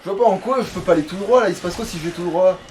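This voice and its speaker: Guy with a highish voice muttering and swearing while gaming, bit of noise behind him. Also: rapid-fire, darkish tone. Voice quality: foul-mouthed, colloquial speech. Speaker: let's play gamer at the controls. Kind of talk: casual gameplay chat, thinking aloud. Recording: noisy home recording, clear background noise.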